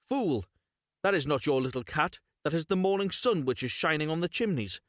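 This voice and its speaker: A man's voice: a little high, animated, moderate pace, no echo, studio quality, voice pushed up, natural voice. A man voicing a harsh, bossy mother from a fairy tale, scornful and scolding. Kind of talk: storybook character reading.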